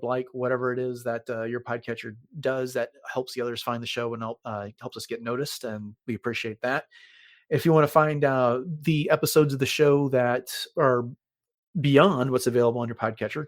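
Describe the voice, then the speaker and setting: A man hosting a podcast in casual conversation, sometimes pausing mid-sentence as he explains things. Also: medium pitch, flat delivery, no room sound. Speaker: podcast host.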